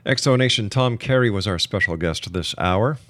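A man's voice: fairly low pitch, moderate pace, fairly bright tone, dry recording. A man hosts a radio talk show. He speaks like a courteous announcer. The sound is close and dry, as from a broadcast studio. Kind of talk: talk radio hosting, interview.

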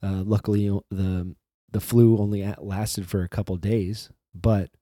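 Low, mellow-voiced man, slightly hoarse. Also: level tone, moderate pace, dry recording, studio quality. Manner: rambling, restarts often, swears casually. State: voice recovering from flu, hoarse. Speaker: podcast host. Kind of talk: casual solo intro monologue.

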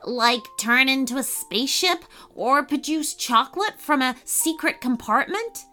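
A woman voicing a cheerful, eager young male elf in a high, chirpy voice. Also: lively intonation, unhurried, pushed and bright, heavily acted.

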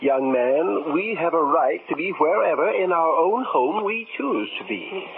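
A man's voice: a little high, animated, a little slow, creaky. A man playing a stuffy, indignant older householder with a pompous, scolding manner in a 1950s radio drama. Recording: muffled, noisy 1950s radio recording.

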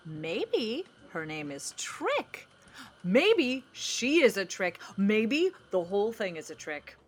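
A woman's voice: medium pitch, very animated, slow, slight background noise. An actress playing a pushy, nervous young woman waiting for a blind date in an audio drama comedy, needling and rambling with suspicion. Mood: defensive, rambling. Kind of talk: audio drama acting, romantic comedy dialogue.

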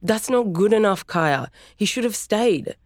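A woman's voice: medium pitch, lively intonation, unhurried, no echo, pitched above the performer's own voice, natural voice. Woman doing an angry young brother, accusing and heated, dry close sound.

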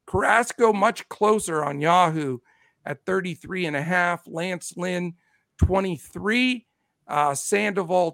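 A man's voice: high-pitched, gravelly, a bit bright, no echo. A man hosting a casual sports podcast, rattling off numbers in a chatty way while losing his voice. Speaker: podcast host.

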